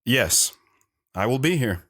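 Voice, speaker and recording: A man's voice: medium pitch, lively intonation, slow pace, fairly bright tone. A man playing a shy, hesitant man recalling his past. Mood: reflective, shy. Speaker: narrator and guest. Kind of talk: audio drama narration.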